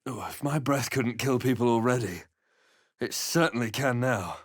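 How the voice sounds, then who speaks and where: A man voicing a grave, watchful, wry spellcasting adventurer hero in a video game, with a measured, dramatic delivery. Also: mid-range voice, no echo, studio quality, lightly acted. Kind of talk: video game voice lines, dramatic narration and incantations.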